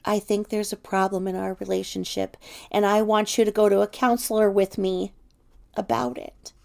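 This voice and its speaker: A woman's voice: medium pitch, flat delivery, moderate pace, creaky, darkish tone, close to an everyday voice. A woman voicing a small, timid, hurt storybook companion in a meek, questioning little voice. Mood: quietly hurt. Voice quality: tiny, little voice.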